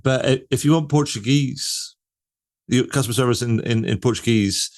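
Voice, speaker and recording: A man's voice: medium pitch, moderate pace, a little mellow. A man hosting an interview, talking in a loose, conversational way with many fillers such as "you know" and "like". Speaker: interview host.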